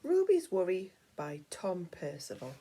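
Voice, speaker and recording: Female storyteller for young children, highly varied intonation, soft dark tone, dramatic delivery with hushed dips. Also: huge pitch swings, unhurried, a little hiss or hum. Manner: dramatic storytelling, dropping to hushed breathy tones. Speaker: teacher reading aloud. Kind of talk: expressive children's picture-book reading.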